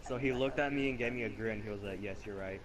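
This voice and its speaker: A man's voice: lowish voice, fast talker. A young man improvising a casual, rambling story over video game footage, earnest and repetitive as he goes. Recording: noisy home game-capture microphone.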